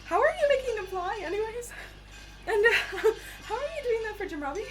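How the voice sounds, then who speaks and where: A woman playing a flippant, excitable young adventurer in an audio drama, chatty and sarcastic. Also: very high voice, a little fast, faint music behind. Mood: flippant, nervous.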